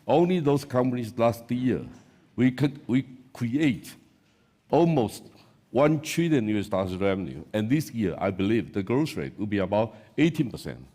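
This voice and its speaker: A man's voice: mid-range voice, lively intonation, moderate pace, mellow. A man from Taiwan with a Taiwanese accent, giving an unscripted conference talk as an analyst, in short emphatic non-native English phrases, recorded in an echoey hall. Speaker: industry analyst, panel speaker.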